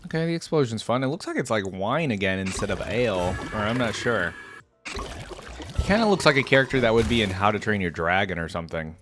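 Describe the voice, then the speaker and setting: A man giving casual reaction commentary in a conversational way, thinking aloud as he goes. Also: on the low side. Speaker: gaming video creator, reactor. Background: music.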